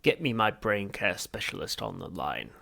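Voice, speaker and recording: A man voicing a brutish, dim, menacing alien captain in an audiobook, croaking and rumbling in grunts. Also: very animated, moderate pace, pushed and bright, heavily acted. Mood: gruff command. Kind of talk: audiobook character voice.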